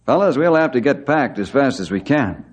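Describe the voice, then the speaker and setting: An actor playing a steady, firm head forest ranger in an old-time radio western. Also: male voice, mid-range voice, normal speed, husky, faint music behind. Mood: businesslike. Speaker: ranger leader. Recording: old radio drama recording.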